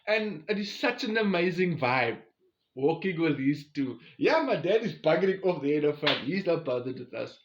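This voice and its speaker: A man's voice: a little high, expressive, moderate pace, muffled recording, a little hiss or hum. A man of about forty with a South African accent, telling a personal story in a casual, conversational way and addressing his listeners directly.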